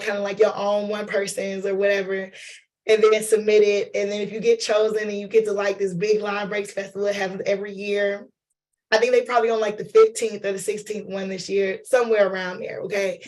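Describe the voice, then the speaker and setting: Hoarse man with a cold, slow and chatty, talking to a workshop group, flat delivery. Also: high-pitched. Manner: chatty, loose, addresses audience as y'all and honey. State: losing voice from a cold. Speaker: lecturer and playwright. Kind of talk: informal workshop talk.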